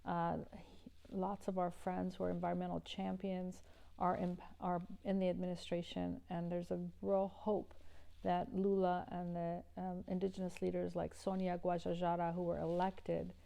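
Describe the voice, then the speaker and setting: A woman's voice: fairly low pitch, monotone, moderate pace, gravelly, dark tone. A middle-aged woman from Iran, an environmental activist, answering interview questions earnestly with many restarts and fillers.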